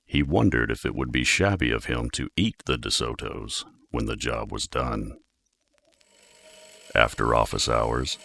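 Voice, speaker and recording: Extremely deep, gravelly male storyteller. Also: fairly bright tone. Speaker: audiobook narrator. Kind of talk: children's storybook narration, scripted.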